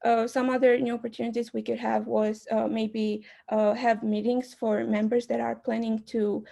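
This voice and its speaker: Young woman, super gravelly fry voice, kinda halting with tons of ums, campaign intro on a call. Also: on the high side, flat delivery, dark tone. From Albania. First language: Albanian. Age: young adult. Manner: hesitant, many uh and um fillers. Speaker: student club board candidate. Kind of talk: self-introduction campaign speech.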